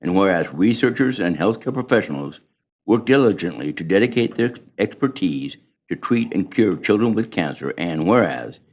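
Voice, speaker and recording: A man's voice: deep voice, moderate pace. A city councilmember reads a formal proclamation at a council meeting. He reads plainly and evenly. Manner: plain, even reading with a brief stumble.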